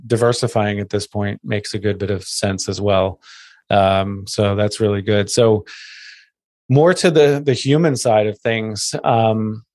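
Brief: male podcast host, lowish voice, rambling filler-laden questions, dry close mic. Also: a little mellow, dry recording. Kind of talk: conversational interview.

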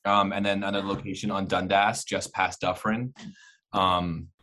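Low-voiced Canadian man, chatting casually. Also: little pitch movement, normal speed, sharp tone. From Alberta, Canada. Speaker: interview guest, actor. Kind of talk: casual podcast chat.

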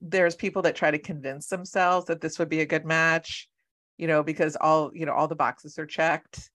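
A woman with a low-pitched voice hosts an interview show. She works as a matchmaker and speaks in an encouraging, affirming way. She gives advice in a casual, conversational tone. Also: little pitch movement, normal speed, a bit bright. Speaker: podcast host and matchmaker.

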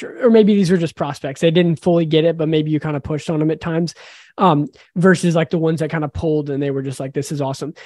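A man's voice: a little high, darkish tone, dry recording, clean studio sound. A man hosting an interview podcast, enthusiastic and effusive as he praises answers and asks questions. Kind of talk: casual interview talk.

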